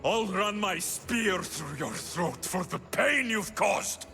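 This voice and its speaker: A man's voice: high-pitched, animated, takes it slowly, vocal fry, big-room sound, heavily acted. A man playing a fierce, vengeful mercenary hero with an intense, accusing delivery in a video game cutscene. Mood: outraged. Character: fierce, vengeful mercenary hero seeking his lost family. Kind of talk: dramatic game cutscene acting.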